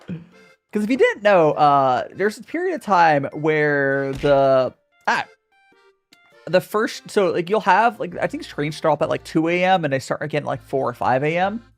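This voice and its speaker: Chatty young man, very lively pitch. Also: unhurried, long pauses, faint music behind. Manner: hesitant, many restarts, reads chat aloud. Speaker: streamer answering viewer questions. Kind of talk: casual livestream Q&A.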